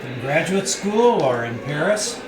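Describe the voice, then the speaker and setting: A man's voice: fairly high pitch, very animated, measured pace, a loud crowd. A man reading the part of an earnest, probing friend in a novel, with a questioning manner. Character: earnest, probing, mildly annoyed male friend. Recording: echoey roomy live reading.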